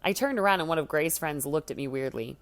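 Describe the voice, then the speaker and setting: Low-voiced woman reading a story expressively. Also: lively intonation, fast. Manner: dramatic, acts out the dialogue. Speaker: story reader. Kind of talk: expressive scripted reading of a first-person teen story.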